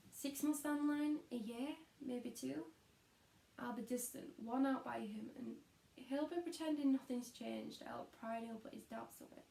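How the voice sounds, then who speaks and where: A woman's voice: a little high, gravelly, warm tone, slight background noise. A woman playing a weary, disillusioned young schoolteacher in an introspective, confiding stage monologue. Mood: reflective, resigned. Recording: echoey room.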